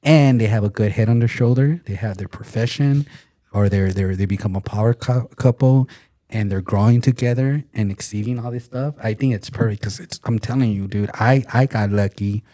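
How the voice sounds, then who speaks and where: A man in his forties with a low, dark voice co-hosts a casual podcast. He talks bluntly, jokes around, and swears freely. The sound is dry. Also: normal speed, no room sound. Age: 40s. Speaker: podcast co-host. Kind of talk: casual chat.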